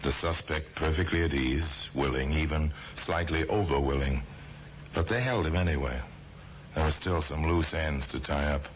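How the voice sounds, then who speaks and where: A man narrating an old radio crime drama in a grave, measured voice. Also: extremely low pitch. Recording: old radio broadcast with faint hiss.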